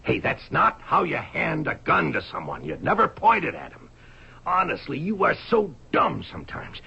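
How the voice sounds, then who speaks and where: Gravelly, scornful rich husband, muffled radio. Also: male voice, fairly high pitch, wildly swooping pitch, normal speed, bright, forceful tone, exaggerated voice. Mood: exasperated. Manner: gruff, scornful. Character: irritable, condescending wealthy husband with a gun. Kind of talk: old-time radio drama acting. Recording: dull lo-fi radio broadcast recording.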